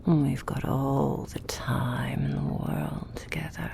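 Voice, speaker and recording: A very deep, crackling female villain played by an actress speaks slowly and deliberately. She is a cruel demonic mage in an ASMR roleplay, taunting and possessive, murmuring with quiet menace over the one she owns. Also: little pitch movement, full character voice. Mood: smug menace. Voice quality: breathy whispering, gravelly.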